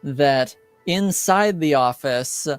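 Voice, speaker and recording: A man running a tabletop roleplaying game, improvising narration in a deliberate, halting way with frequent pauses. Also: fairly high pitch, lively intonation, smooth, no echo, faint music behind. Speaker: game master.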